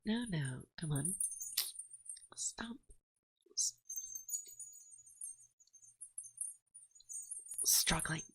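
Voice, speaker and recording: Breathy, slow-talking forest witch played by a woman. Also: low-pitched, lively intonation, no echo, exaggerated voice, rough recording. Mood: affectionate, dominant. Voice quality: breathy, hushed, often whispering. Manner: slow, soothing, teasing, gently dominant. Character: dominant, teasing, affectionate female forest witch. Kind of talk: ASMR roleplay, soft speech and whispering. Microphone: close binaural microphone.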